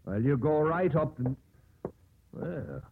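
A folksy, respectful ship's mate, a man with a mid-pitched, very animated voice. The old radio drama recording is tinny, with faint noise. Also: slow pace, gravelly, full character voice. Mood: deferential. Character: deferential, folksy male first officer. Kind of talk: 1950s radio drama acting.

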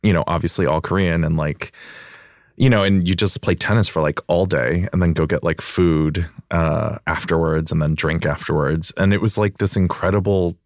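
A man's voice: deep voice, fairly even intonation, moderate pace, dry recording, clean studio sound. A young American man, a podcast guest, talking thoughtfully with many fillers like "like" and "you know". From United States. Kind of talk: reflective interview conversation.

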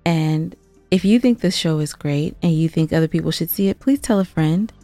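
A woman's voice: deep voice, normal speed, warm tone, no echo, soft background music. A woman co-hosting a podcast, talking casually and earnestly to listeners with an emphatic, playful streak. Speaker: podcast co-host and producer.

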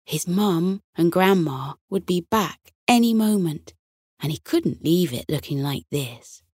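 A woman narrating a children's bedtime story in a gentle, expressive storytelling manner. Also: lowish voice, measured pace, slightly rough, no room sound, clean studio sound.

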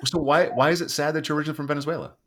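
A man's voice: fairly high pitch, fairly even intonation, racing. A man hosting a podcast, asking questions in an easy, friendly way. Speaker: podcast host. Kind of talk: podcast interview.

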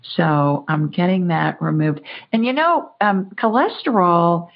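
Woman, lowish voice, chatty talk-show host, folksy, dull lo-fi sound. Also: measured pace, creaky. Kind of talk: call-in radio chat. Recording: muffled lo-fi audio.

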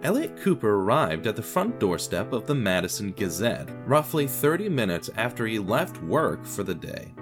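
Male podcast drama narrator, fairly deep, expressive scripted read over faint guitar music. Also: normal speed, hardly any pauses.

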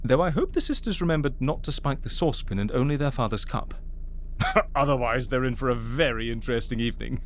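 An actor playing an excitable, wordy male agent in a comedy audio drama, with a theatrical, amused way of talking. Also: medium pitch, fairly quick, slight background noise, lightly acted. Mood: gleeful. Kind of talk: comedy fiction podcast acting.

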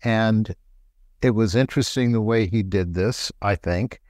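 A middle-aged man, a doctor co-hosting a health talk show, explaining things in a relaxed, conversational way. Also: on the low side, a little slow, no echo, studio quality. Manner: explanatory, relaxed, laughs lightly.

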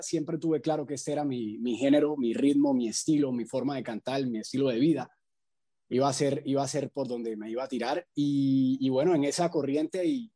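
A man, a singer answering interview questions reflectively, with measured phrasing. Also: medium pitch, mellow. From Colombia. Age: 20s. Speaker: salsa singer, interview guest.

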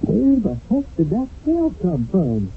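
An actor playing a jittery, folksy young American soldier in a wartime radio drama, excitable in manner. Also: male voice, high voice, slow pace, slightly rough, heavily acted. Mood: alarmed. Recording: tinny, noisy old radio broadcast.

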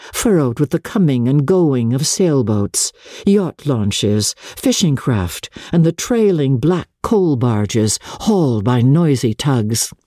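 A woman narrating classic literature in a measured, theatrical way, with deliberate pauses between phrases. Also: rumbling low, dark tone, no echo, clean studio sound. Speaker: narrator, audiobook reader.

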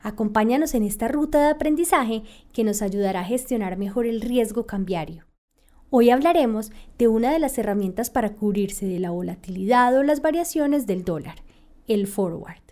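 A woman hosting a podcast, reading scripted educational narration in a measured, explanatory way. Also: on the high side, lively intonation, warm tone. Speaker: podcast host.

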